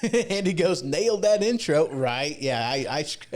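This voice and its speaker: Male livestream host, a bit high-pitched, loose and informal, chatting off the cuff. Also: big pitch swings, a little slow. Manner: rambling, informal. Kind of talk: casual chat. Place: home podcast studio.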